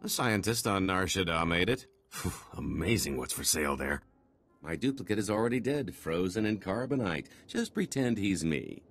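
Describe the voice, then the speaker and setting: A man playing a slippery, boastful middle-aged fugitive con artist in a video game, talking in theatrical, wheedling salesman patter with a husky voice. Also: low voice, big pitch swings, normal speed, fairly bright tone, full character voice. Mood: pleading, persuasive.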